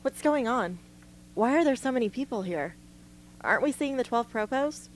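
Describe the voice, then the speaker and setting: A woman's voice: fairly high pitch, lively intonation, normal speed, a little hiss or hum, natural voice. A woman playing a blunt, wounded young female rebel in a radio drama. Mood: curt, weary. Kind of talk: amateur radio drama acting.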